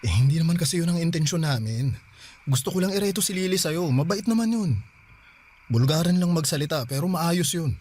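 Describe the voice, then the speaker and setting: A man's voice: fairly high pitch, expressive, a little fast, darkish tone, slightly rough. A man playing a teasing but earnest older brother in a Filipino radio drama, talking in a coaxing way. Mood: apologetic, coaxing.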